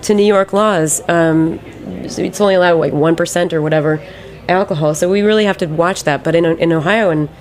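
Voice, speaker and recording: A woman's voice: on the low side, a little fast, a little mellow. A woman speaking as a guest business owner in a casual studio chat, plain-spoken and a little sweary. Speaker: guest, ice cream company owner.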